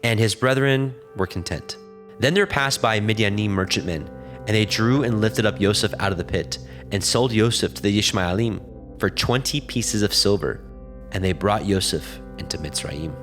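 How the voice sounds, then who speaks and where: A man narrating scripture as a scripted reading, in a measured, solemn storytelling manner. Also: on the low side, fairly bright tone, soft background music.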